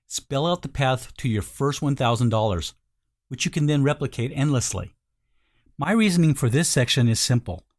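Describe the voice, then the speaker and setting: A man reading a scripted sales video voice-over in an informal, conversational way, speaking directly to the listener. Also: medium pitch. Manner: informal first-person direct address to the listener.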